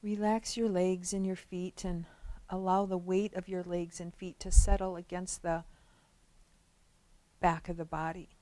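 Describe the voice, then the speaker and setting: A woman guides a relaxation session in a fairly low, very mellow voice. She gives instructions gently and slowly, leaving long pauses between phrases. The sound is dry, with no room echo. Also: level tone.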